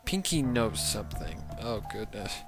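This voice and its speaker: A reaction show host giving casual commentary in a halting, exclamatory way, with muttered asides. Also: medium pitch, lively intonation. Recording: faint music behind the voice.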